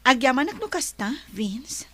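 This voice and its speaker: A woman playing a gentle, earnest young woman in an Ilocano radio drama, pleading softly with an Ilocano accent and breathy voice. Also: sits high, sing-song, measured pace, no room sound, full character voice. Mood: apologetic, pleading.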